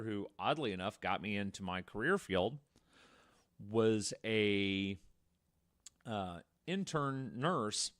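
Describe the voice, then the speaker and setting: A man's voice: on the low side, fairly bright tone, dry recording, studio quality. A man co-hosting a podcast, telling true-crime stories casually in a wordy way full of asides. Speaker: podcast co-host.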